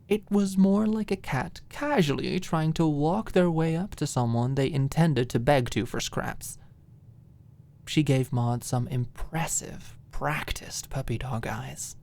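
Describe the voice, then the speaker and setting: A woman narrating scripted fiction as an ASMR audiobook, with expressive, dramatic storytelling. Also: extremely low pitch, unhurried, vocal fry.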